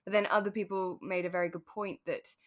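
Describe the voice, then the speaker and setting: A woman co-hosting a podcast, chatting in a relaxed, reflective and sympathetic way. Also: fairly low pitch, brisk. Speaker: podcast co-host. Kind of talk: relaxed chat discussing a radio drama.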